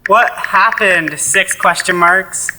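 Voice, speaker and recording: A man's voice: sits high, slow, pushed and bright, slight background noise, exaggerated voice, low-quality recording. A young man playing an upbeat, sociable teenage boy in an audio play. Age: teens to young adult. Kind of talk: youth audio play acting.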